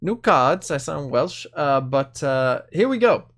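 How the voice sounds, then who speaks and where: A man's voice: on the high side, sing-song, takes it slowly, a bit bright. A young man casually talking through new cards for a card game, thinking aloud with lots of hedges and fillers. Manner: chatty, hedging, thinking aloud with many fillers. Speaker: card game video host. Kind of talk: casual card review commentary.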